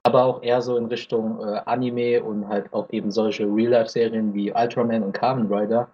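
A man's voice: lowish voice, little pitch movement, brisk, mellow, slightly rough, muffled recording. A nineteen-year-old man from Thailand, a podcast guest chatting casually and hesitantly, with plenty of fillers. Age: teens. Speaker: podcast guest, anime YouTuber.

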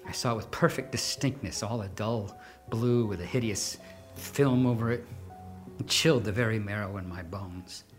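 A man performing a nervous, obsessive madman who confesses to murder and insists he is sane, in an intense, halting gothic horror reading. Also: on the low side, faint music behind, close to an everyday voice. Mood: creeping dread. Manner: intense, halting, swinging from hushed to exclaiming. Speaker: dramatic reader narrating a horror story.

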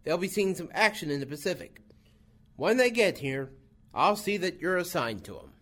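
An actor playing a stern but obliging Marine officer with an authoritative manner in a radio drama. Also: male voice, high voice, big pitch swings, moderate pace, bright, forceful tone, full character voice. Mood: resigned.

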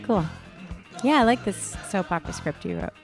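Woman bantering casually, medium-pitched voice. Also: wildly swooping pitch, normal speed, faint music behind. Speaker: co-host of a comedy podcast.

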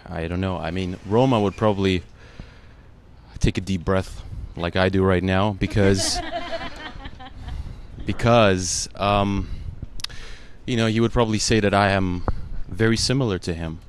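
A man's voice: sits low, a little slow, a little hiss or hum. A man, an actor whose first language is Russian, answering a panel interviewer in an earnest, emotional way, full of "you know" fillers.

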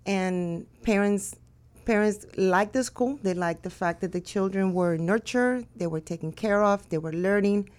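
A woman from Cuba, a school owner, answering interview questions in a measured way with some hesitations. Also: medium pitch, darkish tone. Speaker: school owner and director, guest.

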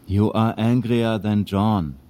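A man's voice: lowish voice, takes it slowly, warm tone. An actor playing a weary, wry German immigrant tradesman with a German accent, dry and terse, in an audio drama. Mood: dry, unbothered. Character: weary, wry, stolid immigrant carriage repairman and drinker.

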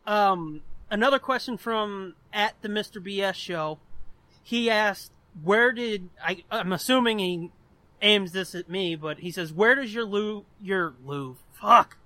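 High-pitched, bright-voiced young American man. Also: a little slow, dry recording. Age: 20s. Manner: profane, informal, rambling. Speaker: podcast host. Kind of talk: casual chat, telling personal anecdotes.

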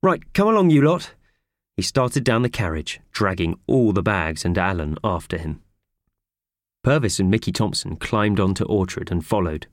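A man narrating a children's story from a script in an expressive, animated way. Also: low voice, normal speed, dry recording, studio quality. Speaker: audiobook narrator.

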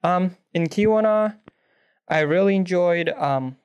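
A man's voice: sits high, unhurried, darkish tone, clean tone. A young man from Belarus whose first language is Russian, answering interview questions earnestly and sometimes searching for words. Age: young adult. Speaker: guest, nursing student.

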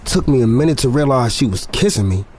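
An actor playing a guarded, introspective young football player, confiding in a casual, understated way as in an audio drama. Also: male voice, medium pitch, expressive, quick pace, a little mellow, close to an everyday voice. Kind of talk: confessional first-person drama narration and naturalistic dialogue. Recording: studio drama with music bed underneath.

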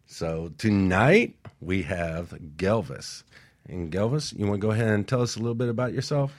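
A man's voice: fairly low pitch, moderate pace, dry recording. A man co-hosting a motivational radio talk show, speaking in an encouraging tone and introducing his guest.

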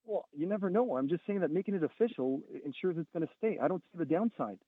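A man's voice: on the high side, lively intonation, fast, no echo. Francophone male caller, earnest, stumbling repeats, phone-line sound. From Ontario, Canada. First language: French. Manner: earnest, repeats words when pressing a point.

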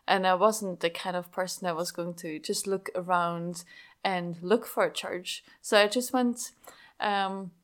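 A woman's voice: lowish voice, steady tone, a little slow. A young woman from Switzerland with a Swiss accent, a senior pastor earnestly telling her story as an interview guest. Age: young adult.

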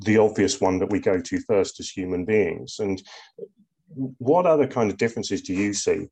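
A man's voice: low voice, normal speed, darkish tone, slightly rough. A man hosting a podcast, asking his guest questions in a friendly, conversational way. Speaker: podcast host. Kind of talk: podcast interview.

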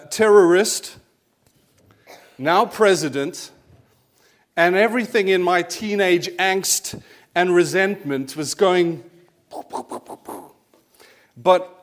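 A South African man in his forties preaches in a relaxed, storytelling way, speaking a little higher than most men. He drops in wry asides and light self-mockery as he goes. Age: 40s. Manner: conversational storytelling with asides and self-deprecating humour. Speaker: pastor. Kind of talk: sermon.

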